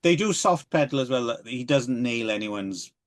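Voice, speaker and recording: A man's voice: mid-range voice, animated, moderate pace. A man co-hosting a podcast, chatting casually and rambling about films, with many hesitations and asides. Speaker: podcast co-host.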